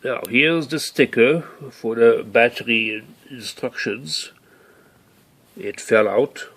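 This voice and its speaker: A middle-aged man narrating an unscripted hands-on demonstration as a hobbyist, halting and rambling as he thinks aloud. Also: mid-range voice, fairly bright tone. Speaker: hobbyist showing his broken tape recorder. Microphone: handheld camera or phone mic.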